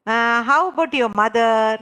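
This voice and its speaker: A woman's voice: on the high side, measured pace, bright, forceful tone, clear, resonant tone. A woman leading a training lesson, explaining step by step, repeating herself and often checking that learners understand. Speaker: trainer leading a clinical interviewing course. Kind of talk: interactive online lesson, explaining and quizzing participants.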